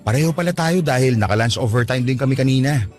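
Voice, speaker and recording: An actor playing a thoughtful, kind young working man in a radio drama, talking in a calm, reflective way. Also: medium pitch, fast, close to an everyday voice. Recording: music underneath.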